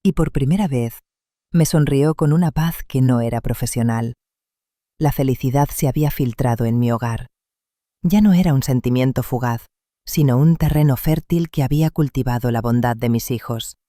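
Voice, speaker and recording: A man narrating a first-person story in a calm, measured, reflective way. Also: medium pitch, no room sound, studio quality.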